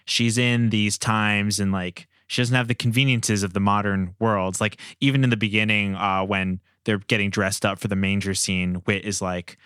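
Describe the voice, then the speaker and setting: A man with a lowish, clean voice hosts a podcast discussion. He feels his way through sentences conversationally, recorded dry and close with no room sound. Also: fairly even intonation, moderate pace, few pauses, fairly bright tone, clear, resonant tone, no room sound. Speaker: podcast host.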